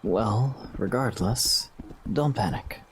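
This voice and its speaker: Young heroic rider, played by a man: steady, reassuring, slightly deep voice for fantasy audio drama. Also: slow pace, soft background music. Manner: calm, reassuring. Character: calm, protective young swordsman and rider.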